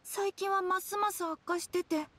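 Casting: earnest little boy for anime game, very high bright voice, music faintly behind. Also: steady tone, normal speed. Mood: worried. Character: earnest young boy worried about his missing father. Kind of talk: Japanese anime game voice acting.

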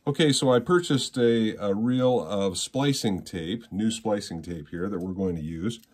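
A man, explaining things in a casual, halting vlog style at home. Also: on the low side, a little mellow, husky. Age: 60s. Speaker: musician and presenter. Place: small rooms in a home.

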